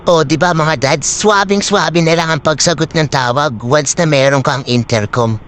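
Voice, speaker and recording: A man's voice: a little high, moderate pace, a bit bright, a little hiss or hum. A Filipino man speaking in an upbeat promotional vlog style, addressing his followers with peppy hype. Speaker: vlogger promoting a giveaway.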